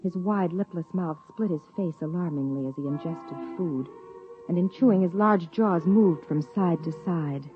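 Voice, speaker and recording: A woman playing an observant wife who narrates her own story in a 1950s radio drama, in a quiet, reflective manner. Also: on the low side, normal speed, lightly acted. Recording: tinny 1950s radio broadcast with faint music.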